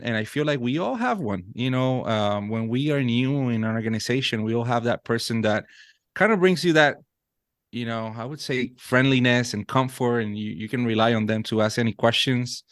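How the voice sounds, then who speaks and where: A man's voice: mid-range voice, lively intonation, normal speed, no echo, clean studio sound. A man in his thirties from Puerto Rico with a Puerto Rican accent, a native Spanish speaker, chatting earnestly as a podcast guest with many restarts. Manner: earnest, frequent restarts and fillers. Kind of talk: casual interview chat.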